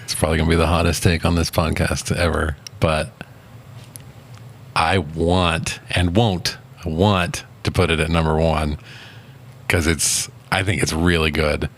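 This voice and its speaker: Very deep-voiced man, laid-back. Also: level tone, measured pace. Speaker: podcast co-host. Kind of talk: casual movie review chat.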